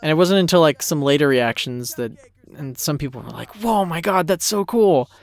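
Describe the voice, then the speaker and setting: Guy doing rambly casual commentary, kinda self-effacing, clear voice w lots of fry. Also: on the high side, lively intonation, normal speed. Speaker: animated series creator giving a commentary.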